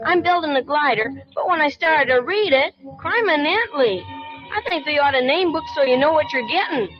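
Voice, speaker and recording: An eager, chattering young boy. His voice is high and bright with excitement. Music plays behind him on a dull 1940s radio recording. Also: normal speed. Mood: excited.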